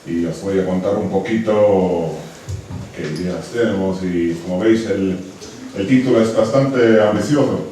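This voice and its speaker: Ponente estonio con acento marcado, titubeante y cercano; sala resonante, micrófono lejano con leve ruido. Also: male voice, sits low, measured pace, warm tone. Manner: hesitant, restarts words, friendly asides. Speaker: conservation researcher giving a talk. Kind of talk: conference presentation. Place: lecture hall. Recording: very echoey hall from far off, faint noise.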